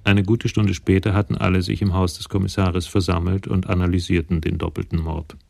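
An actor narrating a radio crime play soberly and matter-of-factly. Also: male voice, low voice, flat delivery, a little fast, vocal fry, darkish tone, dry recording. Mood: sober narration.

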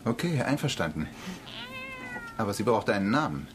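An actor playing an idealistic, questioning engineer in a radio drama, plain and sincere in manner. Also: male voice, lowish voice, very animated, normal speed, background noise. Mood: agreeable, hopeful. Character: questioning, idealistic male space engineer and inventor. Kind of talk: German radio drama acting. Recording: radio drama with music underneath. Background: music.

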